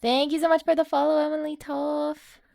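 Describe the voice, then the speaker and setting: A woman's voice: high-pitched, little pitch movement, a little fast, creaky, a little mellow. A woman livestreaming a game, thinking aloud in a casual, playful way. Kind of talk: casual gameplay commentary.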